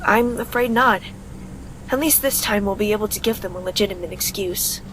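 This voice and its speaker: A woman playing a gentle, caring middle-aged mother in an anime audio drama. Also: mid-range voice, normal speed, close to an everyday voice. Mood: concerned. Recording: faint background noise.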